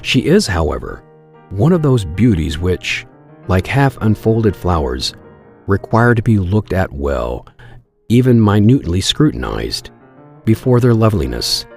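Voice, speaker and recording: A man narrating a Victorian melodrama in old-style radio theatre, formal, measured and theatrical. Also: low-pitched, big pitch swings, creaky, soft background music, full character voice. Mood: solemn, dramatic. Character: grave, ornate storyteller of Victorian melodrama.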